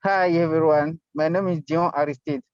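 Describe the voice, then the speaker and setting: A man whose first language is French, a PhD candidate, speaking careful, halting English. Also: a little high, fairly even intonation, smooth, no echo. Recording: dull lo-fi video call audio.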